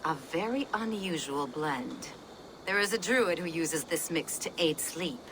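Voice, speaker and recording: A woman's voice: low voice, lively intonation, unhurried, background noise. An actress voicing a composed, cautious village mother who speaks politely in a video game. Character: composed, wary village mother with local knowledge. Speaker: game character. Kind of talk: video game cutscene acting.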